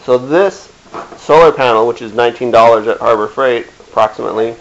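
A middle-aged man giving a casual hands-on demonstration in a halting, plain-spoken way. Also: medium pitch, vocal fry. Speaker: DIY video maker. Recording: dull lo-fi camera sound with hiss.

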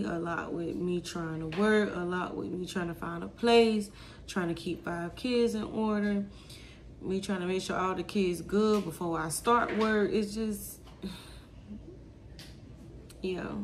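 A woman's voice: on the low side, a little hiss or hum. A young woman chatting casually to viewers about her kids while filming herself on her phone in a home kitchen. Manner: chatty, confiding, talks to viewers as y'all. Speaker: vlogger, mother of five. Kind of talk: casual vlog chat. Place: home kitchen and pantry, indoors. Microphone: phone camera, handheld or propped up.

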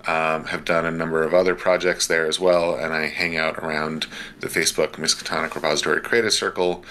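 A man's voice: a bass voice, flat delivery, fairly quick, sharp tone, slight background noise. A man, a guest player, chatting casually about games on a video call from a room at home. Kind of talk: casual chat.

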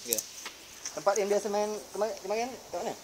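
A young man hosting a travel vlog outdoors, chatty and brisk, asking quick questions into a handheld mic. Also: high voice, lively intonation. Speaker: travel vlogger. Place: outdoors by a village road. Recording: light outdoor background noise.

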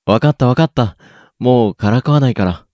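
A man's voice: medium pitch, expressive, a little fast, no room sound, clean studio sound, close to an everyday voice. A young man playing a teasing, supportive schoolboy in a radio drama. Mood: amused. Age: young adult. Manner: playful, gently needling. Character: teasing, supportive schoolboy best friend Ayato. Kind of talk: Japanese student radio drama acting.

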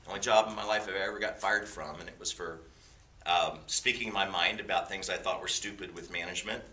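A man's voice: sits low, fairly even intonation, a little fast, bright, forceful tone, distant mic, a little hiss or hum. A man in his fifties leading a class with an informal sermon, mixing stories with jokey, self-deprecating asides. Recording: roomy sound from away from the mic.

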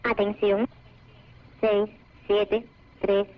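A woman's voice: mid-range voice, little pitch movement, drawn-out words. A woman reading out code formally as a shortwave numbers station announcer. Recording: lo-fi shortwave radio, loud static noise.

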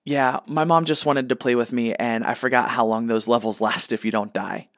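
A man's voice: medium pitch, fairly even intonation, moderate pace, a bit bright, no echo, studio quality, natural voice. Man acting a whiny, bossy young-minded god. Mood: bossy, flippant. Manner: flippant, whiny, imperious. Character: petulant, bossy male god, a gamer mama's boy. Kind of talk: comedic radio play acting.

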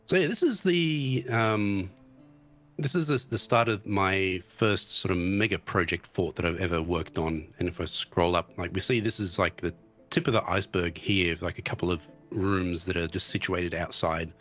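Low-voiced man talking through a game, slightly thick. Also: normal speed, a bit bright, soft background music. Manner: hesitant, many restarts and ums. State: recovering from tonsillitis. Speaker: guest streamer. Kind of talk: casual chat about a game.

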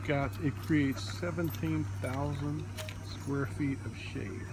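A man's voice: mid-range voice, fairly even intonation, slow. Chatty man filming outdoors, noisy. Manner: teasing, chatty, explains facts to his companion. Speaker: vlogger filming behind the camera. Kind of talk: casual travel vlog chat. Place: outdoors in a busy market and park. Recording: loud outdoor background noise.

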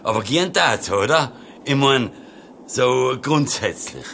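An actor playing a naive but calculating shepherd farmer with a Tyrolean Austrian accent in a satirical radio play. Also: male voice, mid-range voice, expressive, takes it slowly, gravelly, fairly bright tone, a little hiss or hum, heavily acted. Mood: pondering. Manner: hesitant, wheedling, colloquial.

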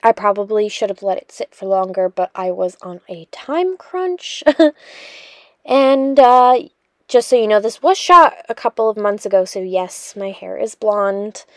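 A woman's voice: mid-range voice, measured pace, no echo, studio quality. A young woman narrating her cleaning vlog in a chatty, rambling voice-over full of fillers. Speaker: cleaning vlogger.

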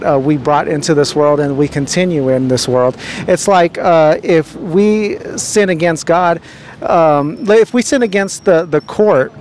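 A man's voice: fairly high pitch, a little hiss or hum. A young man with a handheld mic interviewing people outdoors on a city street, talking earnestly and preachily with many fillers and restarts.

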